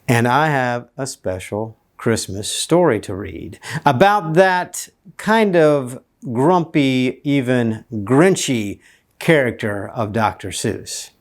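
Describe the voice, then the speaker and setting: Man, storybook reader, theatrical and playful, very lively pitch, unhurried pace, drawn-out emphasis. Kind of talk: expressive children's storybook read-aloud.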